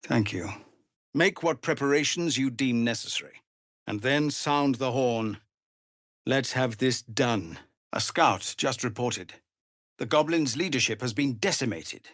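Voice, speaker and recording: A noble, worn-down male leader of refugees for a video game. His voice is husky and grave, gravelly when strained, and recorded very dry. He speaks in a measured, formal way with an expressive, widely moving pitch. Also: no room sound. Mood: grave, earnest. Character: weary, honourable male leader of embattled refugees. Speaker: video game character actor. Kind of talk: dramatic game dialogue.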